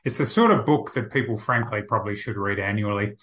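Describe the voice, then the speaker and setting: Man, podcast host, bright crisp voice, upbeat, brisk questions. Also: lowish voice. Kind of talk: interview hosting.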